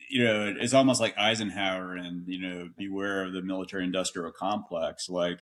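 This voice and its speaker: A middle-aged man with a low voice speaks casually as a podcast guest. His delivery is halting and loose, full of fillers and restarts. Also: a bit bright. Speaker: guest, retired military officer. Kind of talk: casual conversation.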